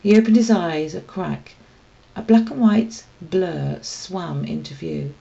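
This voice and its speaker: Slow, low-voiced woman reading a story. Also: creaky, dark tone, highs cut off. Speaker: storybook reader. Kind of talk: expressive scripted narration for children.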